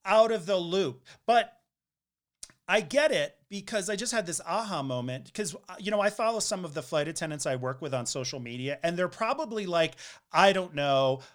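A flight attendant chatting casually, telling stories in an animated, frank way and laughing easily. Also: mid-range voice, normal speed. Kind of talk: casual conversation.

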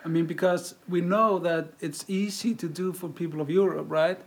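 A man's voice: high voice, fairly even intonation, moderate pace. A man from Iceland with an Icelandic accent, hosting a podcast and asking his guest easygoing, friendly questions. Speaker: podcast host. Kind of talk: scripted intro, then casual interview.

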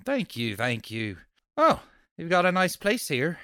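A man's voice: on the high side, wildly swooping pitch, a little slow, sharp tone, clear, resonant tone, no room sound, clean studio sound, heavily acted. A man playing a nosy, chatty, stubborn off-duty policeman neighbor in a table read of an old radio horror play, with folksy, blustery speech.

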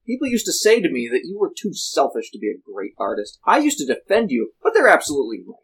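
Man playing a resentful wife, husky voice. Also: a little high, huge pitch swings, fast talker, fairly bright tone, heavily acted. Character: resentful wife defending herself in a marital fight.

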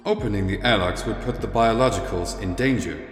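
A man's voice: on the low side, fairly quick, lightly acted. A man playing an obedient, literal-minded spaceship computer in a science fiction audio drama, speaking formally and without emotion. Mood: formal, puzzled. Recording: echoey, long reverb, music behind.

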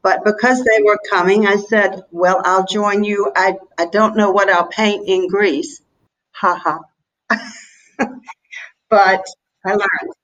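An older woman in her seventies speaks with a Southern US accent. She talks reflectively and a little haltingly, telling personal anecdotes in a casual interview. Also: medium pitch, steady tone, a bit bright, lo-fi sound. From North Carolina, USA. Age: 70s. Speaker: interview guest, painter.

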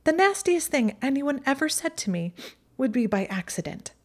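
A woman voicing a haughty, sharp-tongued teenage witch girl in a storybook reading, with a mocking, high-reaching delivery. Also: big pitch swings, normal speed. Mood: bitter. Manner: mocking, acidic, voice rises high.

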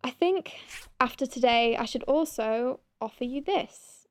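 A woman's voice: high voice, a little slow. A woman playing a proud senior space-clinic doctor in an audio drama, formal, clipped and literal-minded, stiff but kind. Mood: tentative, softening.

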